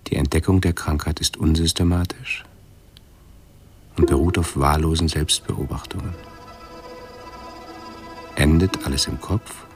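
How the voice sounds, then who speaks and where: A scholarly hypochondriac in a radio play, really low voice, halting anxious muttering, music behind. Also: male voice. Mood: pedantic, detached. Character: anxious, suffering hypochondriac man with a scholarly obsession. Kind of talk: 1970s German radio drama acting.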